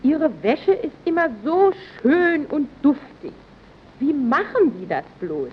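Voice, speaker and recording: An actress playing a curious, admiring housewife and neighbour in a scripted vintage radio advert, inquisitive and flattering. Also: female voice, high voice, slow, exaggerated voice. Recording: dull, noisy early radio recording.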